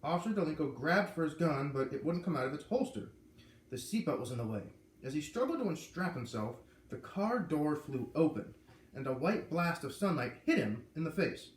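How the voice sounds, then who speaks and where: A man reading a children's novel aloud, lively and putting on voices for the characters. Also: fairly high pitch, normal speed, recorded from a distance. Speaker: reader. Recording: echoey room with faint ambient noise.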